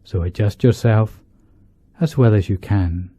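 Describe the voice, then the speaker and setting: A man, a monk leading a guided meditation, speaking in deliberate short phrases with pauses between them. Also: fairly low pitch, dark tone, slightly rough. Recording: dull lo-fi, roomy echo.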